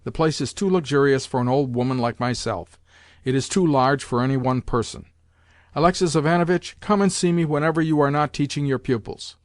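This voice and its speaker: A man's voice: mid-range voice, fairly quick, no echo, studio quality, lightly acted. A man reading aloud, voicing an imperious old grandmother who scolds and gives orders bluntly.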